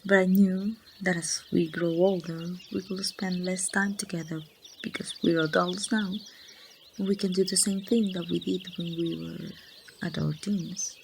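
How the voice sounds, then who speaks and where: A woman playing a grieving young woman confiding in her lost best friend in a halting, wistful ASMR roleplay monologue. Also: on the low side, fairly even intonation, gravelly, slight background noise. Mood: tender, nostalgic. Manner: slow, halting, wistful storytelling. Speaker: amateur voice actor.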